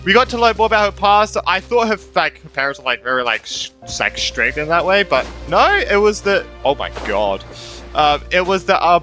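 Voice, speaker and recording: Bright, higher-pitched young man commentating on a game. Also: very animated, normal speed. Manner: rambling, informal, exclamatory, repeats himself. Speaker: gaming YouTuber. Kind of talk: casual playthrough commentary. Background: game music.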